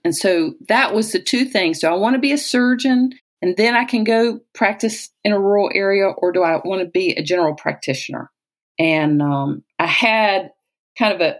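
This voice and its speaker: An American woman in her sixties from Southwest Virginia, telling personal stories conversationally, as if in an interview, often quoting people she has known. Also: fairly low pitch. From Southwest Virginia, United States. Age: 60s. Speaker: interviewee, retired-age physician and poet.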